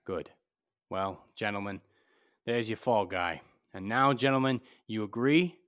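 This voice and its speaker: An actor playing a cool, shrewd private detective in a 1940s-style radio play, blunt, wisecracking and commanding. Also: male voice, fairly low pitch, a little slow, a bit bright. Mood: tough, insistent.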